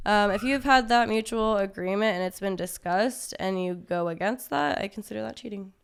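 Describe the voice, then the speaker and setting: Young woman podcast guest, clear and smooth, casual and candid. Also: mid-range voice, normal speed. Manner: casual, many fillers, laughs things off. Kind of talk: candid conversational interview.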